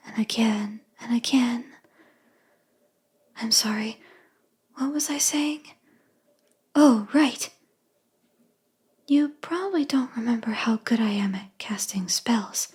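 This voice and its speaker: A woman voicing a doting, clingy yandere kitsune wife in an intimate ASMR roleplay, mostly in a soft, tender whisper. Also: on the high side, husky, full character voice. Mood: darkly possessive, then playful, doting. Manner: tender, slow, cooing affection.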